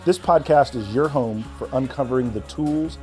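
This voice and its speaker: A man's voice: mid-range voice, moderate pace, a little mellow, faint music behind. A man announcing a podcast opening in a dramatic, punchy scripted way. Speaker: show announcer.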